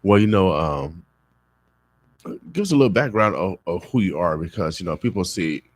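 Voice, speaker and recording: Deep-voiced radio host, easygoing. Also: male voice, animated, normal speed, no room sound. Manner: rambling questions, easygoing. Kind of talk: radio interview.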